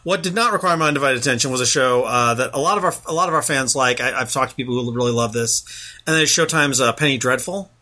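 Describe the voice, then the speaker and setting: A man's voice: medium pitch, quick pace, pushed and bright. A man hosting a casual podcast chat, rambling through a show review with lots of fillers and restarts.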